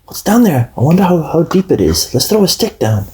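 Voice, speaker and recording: A man's voice: on the high side, very animated, brisk, darkish tone, slight background noise, pitched above the performer's own voice. A man voicing an eager talking dog in an improvised amateur radio play. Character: eager talking collie dog from a family adventure.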